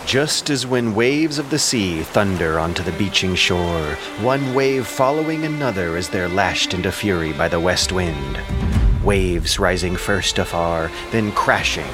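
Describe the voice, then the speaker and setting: A man's voice: low-pitched, animated, measured pace. A man narrating an epic audio drama as a scripted story, with dramatic, solemn delivery. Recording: loud music and sound effects behind. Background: loud dramatic music.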